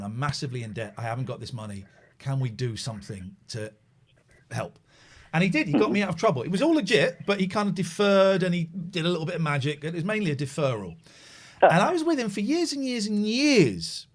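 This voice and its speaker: Male late-night radio host, lively swooping delivery, rather high for a man, chatty. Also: brisk. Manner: animated, chatty, swearing.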